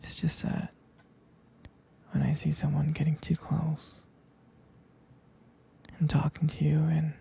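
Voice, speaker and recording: A man playing a jealous, devoted boyfriend in an ASMR roleplay, speaking intimately and haltingly in a breathy, husky whisper. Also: a little high, completely flat delivery, dark tone, exaggerated voice. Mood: uneasy, jealous. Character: jealous, possessive, devoted boyfriend soothing his sweetheart.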